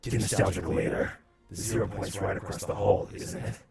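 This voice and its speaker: Man putting on a very gravelly, gruff armored warrior. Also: low voice, moderate pace, darkish tone. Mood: stern, curt. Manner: curt, commanding. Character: gruff armored male warrior, terse and commanding. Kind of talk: comic book voice acting. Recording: background music under the voice.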